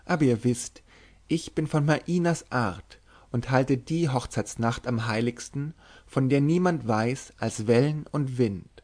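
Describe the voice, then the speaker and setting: A man's voice: medium pitch, a little slow, darkish tone, natural voice. A man reading a storybook, voicing a bold young witch-maiden who is sly, confiding and commanding. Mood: amused, proud. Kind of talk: dramatic literary audiobook reading.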